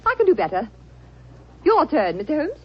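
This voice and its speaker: A woman with a British accent playing a bold, crafty young niece in a 1940s radio drama, brisk and self-assured. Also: high-pitched, wildly swooping pitch. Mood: confident, sporting. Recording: muffled 1940s radio broadcast.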